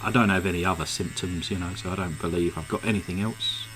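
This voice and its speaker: Croaky, relaxed man narrating his workbench restoration. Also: low-pitched, fast talker. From England. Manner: relaxed, chatty, many "uh" fillers. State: slightly croaky, sore throat. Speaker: model car restorer. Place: home workshop. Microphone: desk microphone.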